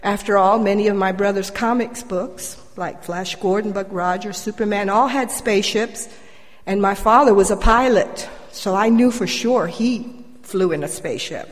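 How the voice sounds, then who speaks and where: A woman's voice: mid-range voice, normal speed. Older woman speaking in a reverberant church. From Colombia. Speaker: church member giving a personal testimony. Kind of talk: prepared personal story read to a congregation. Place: church, addressing congregation through a microphone. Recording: reverberant hall.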